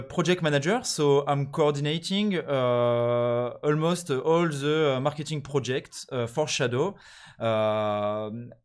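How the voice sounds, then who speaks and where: French-accented man, easygoing guest. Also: medium pitch. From France. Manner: friendly, halting with fillers. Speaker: marketing project manager. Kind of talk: casual guest chat.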